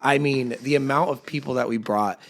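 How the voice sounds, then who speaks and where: A man with a slightly raspy voice tells band stories in a loose, rambling way. He restarts his sentences often and talks with a middle pitch. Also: fairly quick. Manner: rambling storyteller, many fillers and restarts. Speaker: podcast host and band singer. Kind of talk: casual chat among bandmates.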